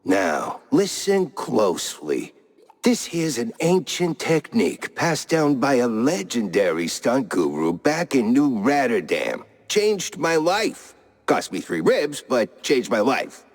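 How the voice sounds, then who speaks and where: A man's voice: medium pitch, big pitch swings, slow pace, full character voice. An actor playing an eccentric, smug stuntman mouse guru in a cartoon noir game, his faux-serene sage talk slipping into streetwise slang. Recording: long echo, cavernous reverb.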